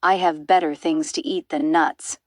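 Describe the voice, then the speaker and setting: A woman's voice: deep voice, fairly even intonation, moderate pace, dry recording, studio quality, lightly acted. A woman voicing an enormous greedy, boastful crocodile in a storybook, gloating and savouring every word. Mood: sly, smug.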